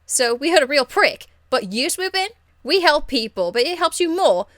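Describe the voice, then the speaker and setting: Scrappy young woman rebel for a fantasy game voiced by a woman: high, blunt, cheeky, excitable, crude street slang. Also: normal speed, no room sound, studio quality. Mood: defiant. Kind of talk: video game character acting.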